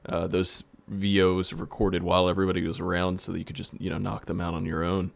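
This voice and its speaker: A man's voice: low-pitched, little pitch movement, fast talker, creaky. A man casually explaining things as a producer in a podcast conversation. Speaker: producer, director.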